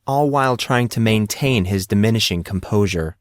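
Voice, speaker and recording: A man's voice: lowish voice, moderate pace, dry recording, clean studio sound. A man reading fiction aloud as a dramatic scripted narration. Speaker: audiobook narrator.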